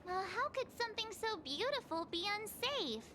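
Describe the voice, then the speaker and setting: A woman playing a bubbly, curious and naive teenage girl in an anime-style video game, expressive and girlish. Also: very high voice, normal speed, background noise. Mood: delighted.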